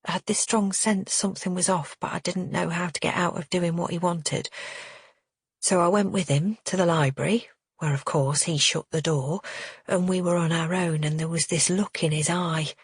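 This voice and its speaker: A tactful married woman in an audiobook story, voiced by a female narrator. She speaks in a cautious, confiding way, with a slightly husky tone and a dry, close sound. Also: on the low side, steady tone, moderate pace, no echo. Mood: confiding, hesitant.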